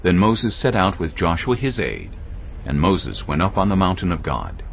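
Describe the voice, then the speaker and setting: Deep, very expressive male narrator. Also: a little hiss or hum. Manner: measured, expressive storytelling. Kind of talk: scripted scripture narration. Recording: dull studio sound over clear music. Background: music.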